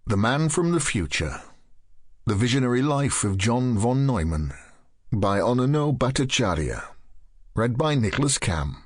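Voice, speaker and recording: A man reads a nonfiction audiobook in a measured, articulate way, his pitch rising and falling in a lively, expressive line. He sits close to a studio microphone in a dry, dead booth with no room sound at all. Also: husky, dry recording. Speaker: audiobook narrator. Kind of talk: scripted audiobook narration.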